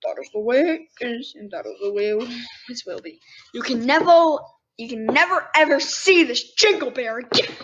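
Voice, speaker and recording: A woman's voice: on the high side, moderate pace, a bit bright, breathy edge. A young girl voicing a stern, unbending storybook father rabbit who scolds firmly. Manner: firm, scolding, emphatic. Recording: dull lo-fi sound with faint noise.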